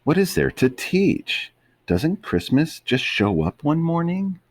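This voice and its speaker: A man's voice: fairly high pitch, sing-song, slow pace, voice pushed up, heavily acted. A man voicing a scruffy male street dog in a storybook reading, curious and a bit confused.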